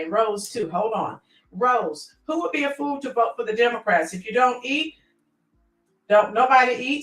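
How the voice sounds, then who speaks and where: A woman's voice: fairly high pitch, fairly bright tone, roomy sound, far from the mic, rough recording. A middle-aged woman hosting a casual livestream, reading out viewer comments and rambling with many fillers.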